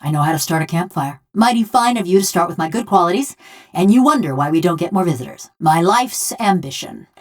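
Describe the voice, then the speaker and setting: A woman voicing a bitter, sardonic construct woman in a video game, a rough rural killer who talks in folksy, ungrammatical, taunting speech. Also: fairly low pitch, lively intonation, fairly quick. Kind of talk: video game character dialogue.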